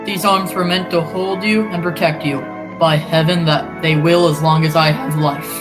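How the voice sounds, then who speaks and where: A man's voice: a little high, steady tone, normal speed, close to an everyday voice. A teenage male student actor playing a heroic, determined young space adventurer in old-time radio serial style. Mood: gallant, assured. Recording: music behind the voice.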